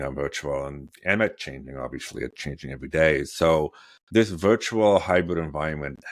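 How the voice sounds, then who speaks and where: Podcast host, forties, man, booming low voice, dry sound. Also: no room sound. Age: 40s. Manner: rambling, many fillers and restarts. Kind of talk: interview conversation.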